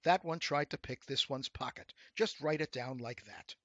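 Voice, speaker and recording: A man's voice: medium pitch, normal speed, a bit bright, no room sound, clean studio sound, lightly acted. A man voicing a testy, curt and commanding devil who rules hell in a storybook tale. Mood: impatient.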